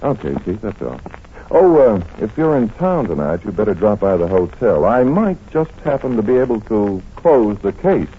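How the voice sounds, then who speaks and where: Shrewd, teasing detective doctor, animated lowish voice, vintage radio mystery, narrow faded sound. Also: male voice, normal speed. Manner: hesitant, probing, polite. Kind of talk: 1940s radio acting. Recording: tinny, noisy vintage radio recording.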